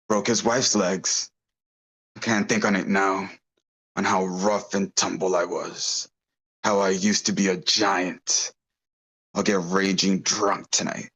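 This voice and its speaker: Crime boss for a table read, male actor: low voice, gruff, brooding, bitter, threatening, feeling sorry for himself. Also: a bit bright, heavily acted. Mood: menacing.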